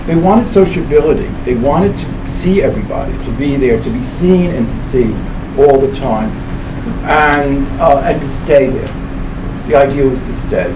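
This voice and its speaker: Older man lecturing in a noisy, echoey room. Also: mid-range voice. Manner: halting, discursive, with repeated phrases and self-corrections. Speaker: professor giving a book presentation. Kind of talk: informal lecture to a live audience. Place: indoor library event room. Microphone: camera mic a few meters away. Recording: roomy, distant mic with loud background noise.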